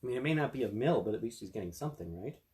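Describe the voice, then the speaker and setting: A man's voice: mid-range voice, animated, racing, dark tone. A man reading a fairy tale aloud as a storyteller, with casual asides to his listeners. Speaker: storyteller, attorney.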